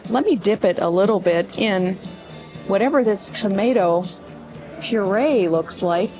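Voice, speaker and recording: A woman with a slightly crackly voice chats to camera with easy enthusiasm, like a regular vlog host sharing a meal. Her pitch sits right in the middle of the range. Background music plays clearly under her voice. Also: expressive, a little slow. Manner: chatty and enthusiastic. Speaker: vlog host and tour guide. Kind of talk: casual vlog chat to camera. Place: restaurant, indoors.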